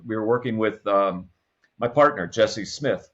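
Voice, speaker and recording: An American man in a casual interview, reminiscing as a guest in a halting way with repeated words. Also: fairly low pitch, lo-fi sound. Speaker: podcast guest, radio comedy writer and producer.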